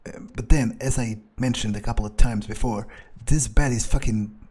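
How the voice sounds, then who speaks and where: A man voices a sardonic vampire in a video game roleplay, muttering his thoughts aloud. The voice is husky and mid-pitched, with casual, grumbling, profanity-laced delivery. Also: fairly even intonation, normal speed, a little mellow, close to an everyday voice. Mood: disgruntled. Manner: grumbling, sarcastic, swears freely. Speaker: game player narrating in character. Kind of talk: in-character roleplay monologue, casual.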